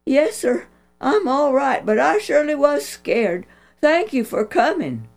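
A woman's voice: sing-song, a little slow, vocal fry, a little mellow, heavily acted. Woman voicing a scared polite young boy. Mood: frightened, earnest. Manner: high, pleading, breathless. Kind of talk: storybook reading.

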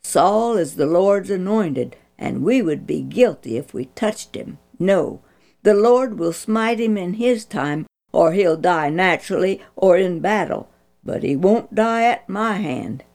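A woman's voice: fairly low pitch, lively intonation, unhurried, gravelly, a little mellow. A woman voicing an earnest young male hero, calling out and pleading in a storybook reading. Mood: firm.

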